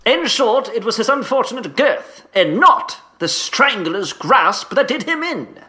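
An actor playing a pompous, sputtering Victorian headmaster in a comedic audio drama. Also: male voice, very high voice, sing-song, measured pace, bright, forceful tone, full character voice. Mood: pompous, dismissive. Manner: pompous, sputtering, old-fashioned exclamations. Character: pompous, blustering 1850s English boarding school headmaster.

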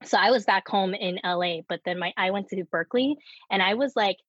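A woman's voice: mid-range voice, a little fast, fairly bright tone, breathy edge, no echo, lo-fi sound. A woman in her thirties whose first language is Korean, talking candidly about her life in an interview and laughing often as she tells stories. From United States. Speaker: startup co-founder guest. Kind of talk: casual interview conversation.

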